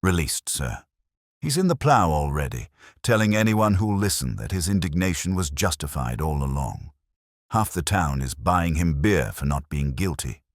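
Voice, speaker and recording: A man's voice: extremely low pitch, big pitch swings, moderate pace, no room sound, clean studio sound. A man voicing an eager, deferential police sergeant in a detective story, keen and plain-spoken with his superior. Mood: amused.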